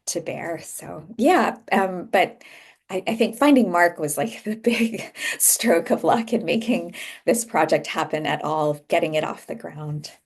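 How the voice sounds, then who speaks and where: An American woman in her thirties, a guest author talking thoughtfully about her book over a video call, with frequent pauses. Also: fairly low pitch, animated, slightly rough. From United States. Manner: thoughtful, soft-spoken, pauses often. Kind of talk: reflective conversation.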